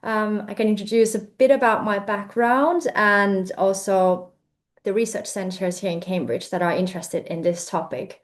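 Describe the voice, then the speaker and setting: Young Finnish-accented woman, researcher presenting, halting delivery with many fillers, average pitch. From Finland. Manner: hesitant, many fillers and restarts. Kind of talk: presentation talk.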